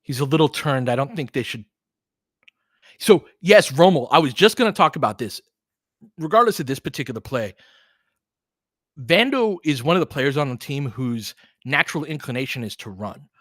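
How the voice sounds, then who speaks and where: A man's voice: mid-range voice, lively intonation, normal speed, a bit bright, no room sound, clean studio sound. A man hosting a live basketball stream, giving casual, analytical commentary and chatting with viewers in between short reactions to the play.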